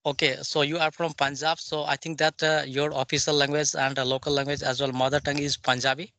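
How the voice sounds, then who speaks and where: A man with an East Indian accent practising English conversation in a formal, deferential and somewhat halting manner. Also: fairly high pitch, little pitch movement, bright, forceful tone, no echo. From Jharkhand, India.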